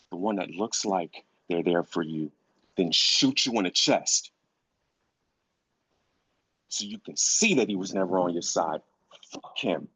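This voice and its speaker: A man's voice: on the low side, a bit bright, slightly rough, no echo, heavily acted. An actor playing a grieving, furious father in a dramatic script reading, speaking emphatically and incredulously, repeating phrases for weight.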